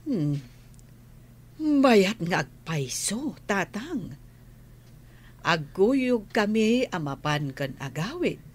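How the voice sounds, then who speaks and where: An actress with an Ilocano accent playing an agreeable, practical grown daughter in a radio drama. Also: female voice, mid-range voice, very animated, drawn-out words, pauses often, breathy edge, heavily acted.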